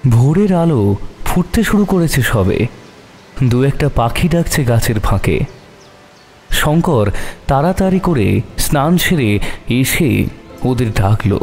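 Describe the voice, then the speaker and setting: Bright-toned dark-tale male narrator, mellowed. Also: medium pitch, sing-song, takes it slowly, pauses often. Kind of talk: dramatic scripted horror story narration. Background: music.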